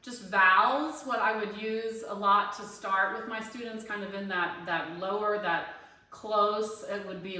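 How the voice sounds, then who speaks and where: A woman in her fifties with a bright voice, talking through her thoughts in a loose, rambling way full of "um" and "you know". She sits away from the mic in a very echoey room, so her voice sounds hollow and reflected. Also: mid-range voice, distant mic. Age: 50s. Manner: conversational, lots of fillers and restarts, thinking aloud. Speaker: online voice pedagogy student and singing teacher. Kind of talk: casual spoken class discussion post.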